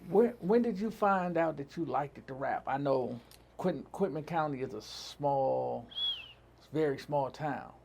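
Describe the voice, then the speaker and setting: A man's voice: a little high, unhurried, vocal fry, background noise. A man hosting a casual interview show, introducing his guest and putting questions to him.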